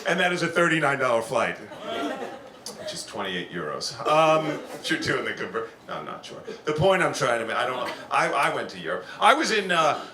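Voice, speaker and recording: A man's voice: mid-range voice, sing-song, fairly quick, distant mic, crowd noise. A man doing stand-up comedy to a live audience, rambling and slipping into mock voices. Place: comedy club stage. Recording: roomy club with audience behind.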